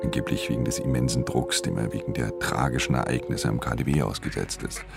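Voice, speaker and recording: Very deep gravelly conspirator. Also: male voice, a little fast, over loud music. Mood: grave, uneasy. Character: grim conspiratorial male police underling reporting to superior. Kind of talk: German radio drama acting.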